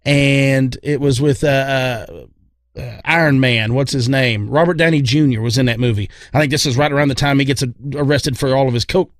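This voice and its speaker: A man's voice: mid-range voice, brisk, dry recording. Rambling male podcaster in a dry room. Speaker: podcast co-host. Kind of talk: casual chat, opinion.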